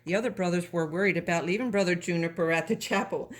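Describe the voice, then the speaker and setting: A woman reading a children's story aloud in an expressive, animated way, as a storybook reader. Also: fairly low pitch, quick pace.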